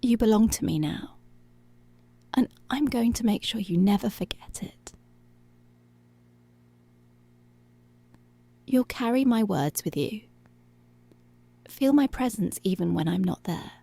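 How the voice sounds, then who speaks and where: A woman playing a dominant, seductive policewoman in an intimate ASMR roleplay, giving hushed, deliberate commands that are possessive yet tender, close to the microphone. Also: medium pitch, animated, gravelly, no room sound. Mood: possessive, soothing. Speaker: ASMR voice actor. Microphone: close, dry microphone.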